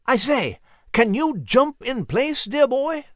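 Man putting on a florid, high-pitched genteel mouse. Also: big pitch swings, takes it slowly, no room sound, clean studio sound, full character voice. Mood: curious. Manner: pompous, florid, hearty.

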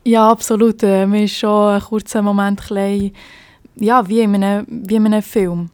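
A woman's voice: mid-range voice, little pitch movement, moderate pace, darkish tone, dry recording. A young woman from Switzerland with a Swiss German accent, a police officer telling of her work in a podcast interview, hesitant and full of fillers.

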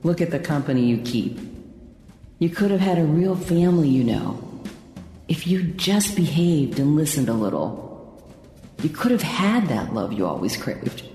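A man's voice: fairly high pitch, big pitch swings, measured pace, mellow. An actor in an audio drama playing a bitter, reproachful man who chides, his voice in echoing reverb. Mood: cold reproach. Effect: heavy echoing reverb. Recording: long echoing reverb over music.

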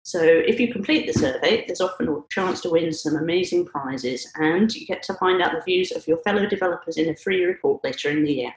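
A woman hosting a podcast, speaking politely and in a measured way, with a few hesitations. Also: fairly low pitch, echoey room, poor audio. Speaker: podcast host, analyst.

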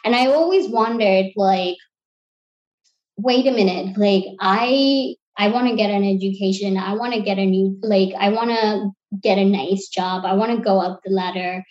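A woman in her thirties who grew up in Sri Lanka, an interview guest talking thoughtfully about her life, often pausing and restarting to find her words. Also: medium pitch, darkish tone, muffled recording. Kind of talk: reflective personal interview.

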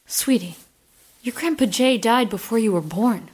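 An actress playing a young boy's exasperated, anxious mother in a horror audio drama, expressive and exclamatory. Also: on the high side, normal speed, natural voice.

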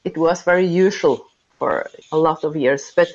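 A woman's voice: sits low, moderate pace. A woman with a Norwegian accent answering interview questions, speaking carefully and hesitantly as a non-native English speaker. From Norway. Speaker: guest, dog breeder. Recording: dull lo-fi remote line.

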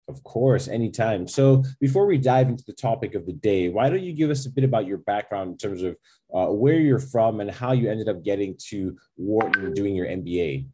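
A man with a fairly low, husky voice hosts a conversational interview. He sounds informal and inquisitive, putting questions to a guest. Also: fairly quick, a little mellow. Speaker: podcast host and interviewer.

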